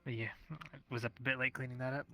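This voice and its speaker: A man's voice: medium pitch, flat delivery, fast talker, sharp tone, faint music behind. A man playing a weary, haggard townsman in an improvised VR roleplay, talking in a casual, tentative way. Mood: tired. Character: weary, haggard, unassuming townsman called William. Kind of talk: improvised in-character roleplay in a social VR game.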